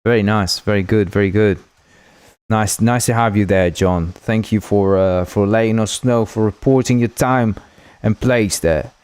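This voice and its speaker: Low-voiced man chatting on a livestream. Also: steady tone, normal speed, darkish tone, smooth, dry recording. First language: Spanish. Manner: rambling, jokey, thanks viewers often. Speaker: livestream host, game developer. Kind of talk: casual livestream chat.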